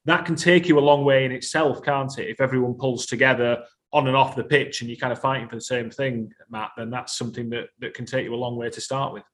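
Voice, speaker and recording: Quick-talking male sports host. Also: medium pitch, steady tone. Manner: articulate, wordy, builds long questions. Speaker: show host, interviewer. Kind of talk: conversational sports interview.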